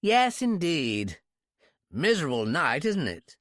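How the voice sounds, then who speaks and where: Man putting on a high-pitched, pompous upper-class English secretary. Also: sing-song, slow, fairly bright tone, no echo, studio quality, heavily acted. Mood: airy, hesitant. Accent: exaggerated upper-class English. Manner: pompous, airy, over-polite. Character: posh, fussy English private secretary, bluffing politely. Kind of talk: audiobook character voice.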